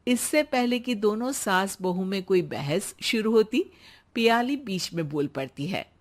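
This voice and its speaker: A woman's voice: medium pitch, lively intonation, measured pace. A woman reading scripted narration as the storyteller of a cartoon tale.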